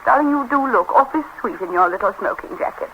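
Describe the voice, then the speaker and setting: A woman's voice: on the high side, lively intonation, quick pace. An actress playing a witty, capricious upper-class English woman in love, with an arch, clipped theatrical manner in 1930s radio drama style. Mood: tender. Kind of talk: 1930s radio drama acting, drawing-room comedy. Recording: noisy, muffled 1930s radio broadcast recording.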